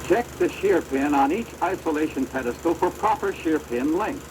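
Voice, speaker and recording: American male narrator, formal and instructive, very animated intonation, measured scripted delivery, deteriorated 16mm film sound with clear machine noise. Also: on the high side. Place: recorded off an old film projector.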